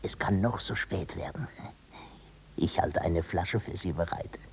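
An actor playing a sly, servile crook who speaks obsequiously and insinuatingly in a 1950s radio drama, often dropping to a whisper. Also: male voice, low voice, measured pace, vocal fry, darkish tone. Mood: wheedling, conspiratorial. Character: sly, servile male crook feigning deference to his victim.

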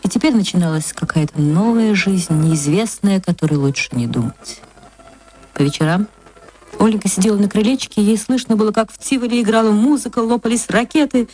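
An actress reading a short story for radio, in expressive dramatic literary narration with drawn-out words. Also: female voice, mid-range voice, faint music behind, low-quality recording.

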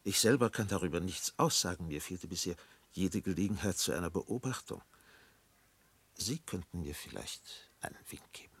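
Radio drama pastor, old, grave and probing: gravelly low voice, formal, measured delivery. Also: male voice, animated, exaggerated voice. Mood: gravely concerned. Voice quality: gravelly, husky. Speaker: actor. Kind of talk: German radio play acting.

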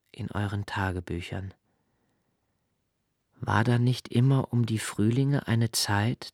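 An actor playing a brooding, introspective young male diarist, narrating a literary radio play in a slow, hushed, measured way. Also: fairly low pitch, no room sound, clean studio sound. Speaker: first-person narrator.

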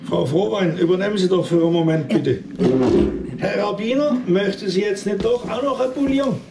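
An actor playing a brusque, condescending provincial police inspector with a creaky voice in a naturalistic radio drama. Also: male voice, high-pitched, moderate pace, noisy background. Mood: dry, condescending. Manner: dry, condescending, officious. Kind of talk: naturalistic German-language radio drama acting.

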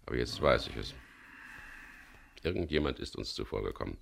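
An actor playing a composed, courteous leader of a risky venture in a radio play, explaining things in a measured way. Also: male voice, extremely low pitch, animated, creaky, a little hiss or hum, full character voice. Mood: grave. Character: composed man, leader of a diamond salvage venture. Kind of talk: 1970s German radio play acting.

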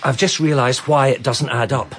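A man's voice: mid-range voice, steady tone, moderate pace, breathy edge, natural voice. An actor playing an earnest, persistent male ex-policeman digging into a case in a radio drama. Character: earnest male ex-policeman turned amateur sleuth.

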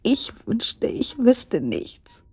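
A woman's voice: fairly high pitch, sing-song, very slow, dark tone, breathy edge, no room sound, exaggerated voice. An actress playing an anxious, searching woman with an earnest manner in a naturalistic radio drama. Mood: anguished, hushed. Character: anxious, searching woman making tense inquiries. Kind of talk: naturalistic radio drama dialogue and intimate monologue.

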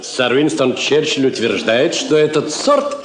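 An actor playing a genial, worldly government minister in a radio play, with an urbane, courtly manner. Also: male voice, mid-range voice, a little slow, lightly acted. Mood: urbane, sociable. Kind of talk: Soviet radio play acting. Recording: roomy old radio drama, faint music.

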